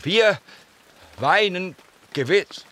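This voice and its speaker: An actor playing a blunt, emotional, stubborn gardener in a radio drama, with an emphatic, declamatory way of talking. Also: male voice, sits high, expressive, very slow, a bit bright, full character voice. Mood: solemn, mournful. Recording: rain noise behind the voice.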